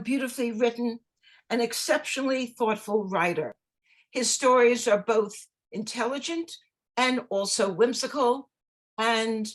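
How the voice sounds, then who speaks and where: A woman introducing an author at an online book event, reading quotes aloud in a measured way. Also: mid-range voice. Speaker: host. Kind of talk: event introduction on a video call.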